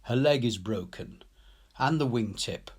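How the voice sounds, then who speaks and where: Storybook role: tender male recluse, acted by a narrator, husky, soothing, concerned, calmly in charge. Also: medium pitch, unhurried. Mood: kind, concerned. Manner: gentle, reassuring. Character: kind, gentle hunchbacked male recluse painter, softly authoritative.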